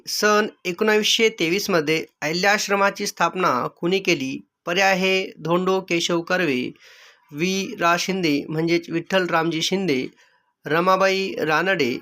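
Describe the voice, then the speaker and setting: Man, very high pitch, bright forceful tone, quiz teacher, measured formulaic reading of questions and options. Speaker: exam-prep quiz teacher. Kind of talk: reading out multiple-choice quiz questions and answers.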